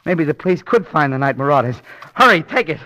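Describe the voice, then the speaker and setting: An actor playing a nervous, frightened, fatalistic city employee in an old-time radio crime drama, agitated and halting. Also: male voice, a little high, sing-song, sharp tone. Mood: terrified. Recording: noisy vintage radio recording with clicks.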